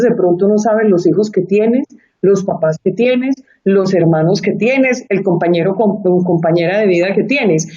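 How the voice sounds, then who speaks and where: A woman's voice: medium pitch, mellow. A Colombian woman speaking Spanish with a Colombian accent, a guest in an interview who talks in an earnest, reflective way and repeats phrases for emphasis. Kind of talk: conversational interview. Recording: muffled lo-fi audio.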